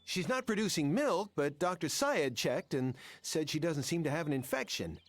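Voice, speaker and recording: A man's voice: fairly high pitch, lively intonation, normal speed, dry recording, faint music behind. An actor voicing a worried, sheepish male cattle farmer in video game dialogue. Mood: sheepish worry.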